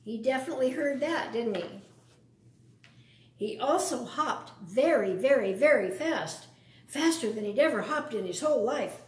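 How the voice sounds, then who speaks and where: A woman reads a children's story in a roomy, echoing space. Her voice is fairly high and very lively in pitch, and she often turns to the children with simple questions and explanations. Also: moderate pace, a little hiss or hum. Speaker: storyteller reading a picture book to children. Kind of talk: read-aloud storytime with asides to young listeners.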